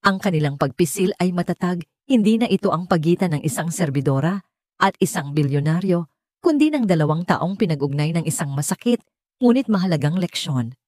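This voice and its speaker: Woman, Filipino accent, low-leaning pitch, very mellow tone, dramatic audiobook narration. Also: animated, brisk, no echo, studio quality. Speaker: story narrator. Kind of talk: scripted dramatic narration of a love story.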